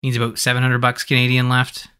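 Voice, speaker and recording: Man, podcast host, bright forceful voice, mid pitch, casual, animated, rambling. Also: fast talker, smooth.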